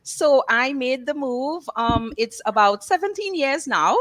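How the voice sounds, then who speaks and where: A woman's voice: sits high, animated, unhurried, a bit bright. A middle-aged woman from Trinidad and Tobago with a Trinidadian accent, speaking conversationally on a nursing panel. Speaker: nursing services director. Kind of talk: panel discussion.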